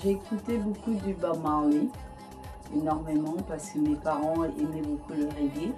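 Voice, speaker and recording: Low-voiced woman with Caribbean English accent. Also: steady tone, normal speed, music in the background. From Guyana. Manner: hesitant, many fillers and restarts. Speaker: singer-songwriter guest. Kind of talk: studio interview.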